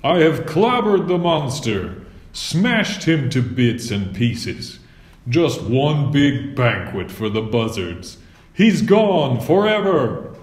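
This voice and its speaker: A man voicing an excitable, boastful young knight in a storybook, exclaiming and proclaiming. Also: medium pitch, slow. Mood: boastful, triumphant. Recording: roomy echo.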